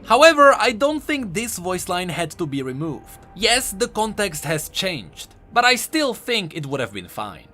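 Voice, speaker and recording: A man's voice: sits high, big pitch swings, measured pace, few pauses, bright, forceful tone, faint music behind. A man doing an enthusiastic, conversational voice-over commentary as a video host. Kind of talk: game lore commentary voice-over.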